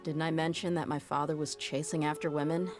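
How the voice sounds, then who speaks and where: A woman's voice: low-pitched, little pitch movement, fairly quick, music in the background, close to an everyday voice. A woman voicing a tough young female mercenary in a game, talking in a frank, wry, matter-of-fact way. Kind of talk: video game cutscene dialogue.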